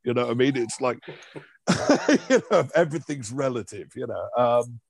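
A man's voice: mid-range voice, animated, moderate pace, creaky, husky, no echo. A middle-aged man from Liverpool chatting casually as a comedian guest, with a wry, opinionated and rambling manner. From Liverpool, England. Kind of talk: casual conversational commentary.

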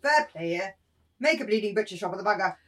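A woman playing a rowdy, combative soldier, loud and barking, in a dramatised literary reading. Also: mid-range voice, animated, fast talker, lightly acted. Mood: bloodthirsty.

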